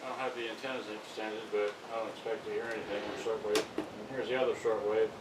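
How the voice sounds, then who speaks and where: A man's voice: on the low side, level tone, quick pace. A man giving a casual, unscripted unboxing demonstration, rambling and muttering to himself as he works. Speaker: vlogger showing vintage electronics. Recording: roomy, distant mic, loud background noise.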